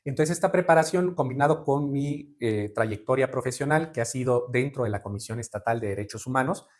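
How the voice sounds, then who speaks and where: Formal Mexican man answering steadily. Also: medium pitch. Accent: Mexican Spanish. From Veracruz, Mexico. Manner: measured, formal, with frequent fillers and tag questions. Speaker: judicial candidate guest. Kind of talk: interview answers.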